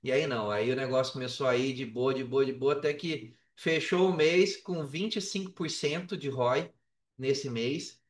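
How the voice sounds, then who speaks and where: A man's voice: mid-range voice, moderate pace, distant mic. A man with a Brazilian accent telling a story casually and animatedly in slangy speech, acting out bits of dialogue. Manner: colloquial, slangy, re-enacts dialogue. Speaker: podcast guest, affiliate marketer. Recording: roomy, echoey, off the mic.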